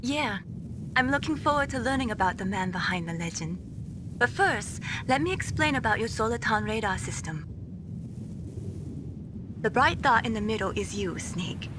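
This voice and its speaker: A woman's voice: a little high, animated, normal speed, long pauses, lightly acted. A woman voicing a young female weapons designer who teases and explains, in a noisy game cutscene. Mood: teasing. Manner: bright, teasing.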